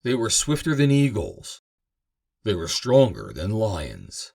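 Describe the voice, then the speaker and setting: A man's voice: lowish voice, wildly swooping pitch, takes it slowly, creaky, darkish tone. A man giving a solemn, theatrical, emphatic reading of scripture as a narrator. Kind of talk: dramatic scripted reading of King James Bible.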